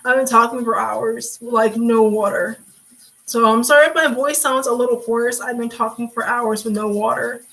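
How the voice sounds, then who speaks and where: A young woman chatting on a livestream, sassy and talkative, calling people sweetie and honey. Her slightly high voice is worn hoarse and raspy from hours of talking without water. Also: fairly even intonation, normal speed, fairly bright tone, low-quality recording. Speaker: livestream host. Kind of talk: casual livestream chat.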